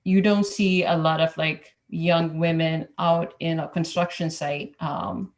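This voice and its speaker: A woman's voice: low-pitched, fairly even intonation, measured pace. A woman from Ethiopia who works as an engineer, speaking as a roundtable panelist in a hesitant way, with many fillers and restarts. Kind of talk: casual roundtable talk.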